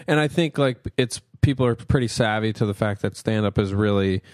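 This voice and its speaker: A man who co-hosts a podcast and does stand-up, talking casually. He is agreeable and often chimes in with a quick "yeah". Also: fairly low pitch, dry recording, clean studio sound. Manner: agreeable, frequent "yeah" backchannels. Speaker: podcast co-host and stand-up comedian.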